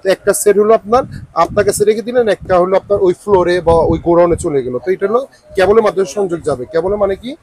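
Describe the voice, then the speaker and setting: Male Bangladeshi shop salesman, high husky voice, informal showcase delivery with repeated price patter. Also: fast talker, a little mellow, dry recording. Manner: repetitive sales patter, some English words. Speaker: shop salesman presenting products.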